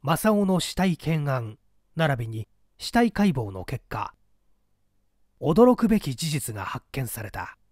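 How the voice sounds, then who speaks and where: A man reading a mystery story aloud in an expressive, scripted narrator's delivery. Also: on the high side, slow, no room sound, studio quality. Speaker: audiobook reader, small-theatre actor.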